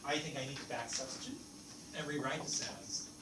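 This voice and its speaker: A man whose first language is French, teaching a math class in a lively, playful way and asking the students questions. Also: medium pitch, brisk, recorded from a distance. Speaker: math instructor. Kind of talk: classroom lecture. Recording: echoey classroom recorded from afar, noisy.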